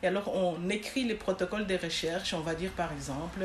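A woman's voice: on the low side, a little fast, a little hiss or hum. A woman from Congo, raised in Belgium, answering interview questions earnestly with many hesitations. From born in Congo, raised in Belgium. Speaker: podcast guest. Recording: echoey room with faint ambience.

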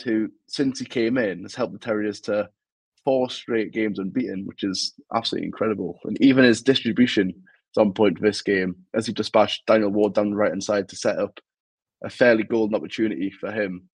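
Young Geordie bloke, podcast co-host, low-ish voice, dry close mic, chatty match analysis. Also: male voice, darkish tone, no room sound. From Newcastle, England. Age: young adult. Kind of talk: casual football podcast chat.

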